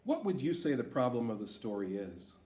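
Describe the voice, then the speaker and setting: A man reading a storybook aloud to children as a teacher, asking them questions in an encouraging way. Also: medium pitch. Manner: slow, lively, encouraging teacher talk. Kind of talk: read-aloud lesson for children. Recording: echoey room.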